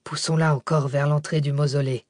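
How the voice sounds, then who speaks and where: A woman voicing a bossy, curt man giving hushed orders during a desperate escape. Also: a little high, flat delivery, fairly quick, no room sound, studio quality, voice pushed down, lightly acted. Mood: hushed urgency. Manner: commanding, hushed.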